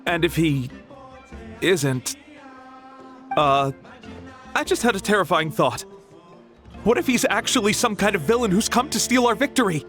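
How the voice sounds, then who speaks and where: A man playing an excitable, imaginative young townsman in a video game, eager and full of speculation. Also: sits high, measured pace, pauses often, faint music behind. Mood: speculative, excited. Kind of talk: video game character acting.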